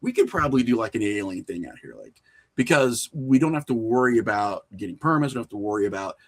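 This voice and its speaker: A middle-aged man with an American accent talks casually as a podcast guest. He tells stories in an animated way, slipping into little acted-out bits of dialogue. His pitch sits in an average male range. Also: quick pace. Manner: animated, acts out imagined dialogue. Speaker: podcast guest, independent filmmaker. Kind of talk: casual storytelling in an interview.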